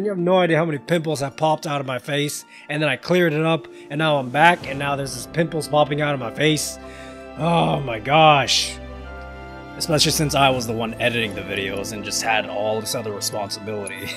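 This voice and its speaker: A tired young man hosting a casual let's-play, profane and laughing, talking back at the game late at night. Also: a little high. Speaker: gaming channel host. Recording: game music loud behind voice.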